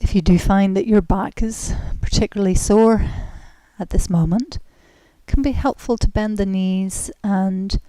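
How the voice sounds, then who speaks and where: A woman guides a relaxation session at a very slow, unhurried pace. Her voice is mellow and soft, turning breathy as phrases trail off. She is gentle and soothing, recorded close and dry. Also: mid-range voice, no echo, poor audio. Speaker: guided relaxation and yoga instructor. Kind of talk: guided relaxation, meditative instruction.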